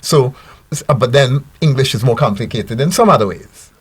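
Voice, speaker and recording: A man's voice: mid-range voice, normal speed, husky. An older man, a linguistics professor on a studio panel, who explains with worked examples, tag questions and frequent restarts. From Guyana. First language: Guyanese Creole.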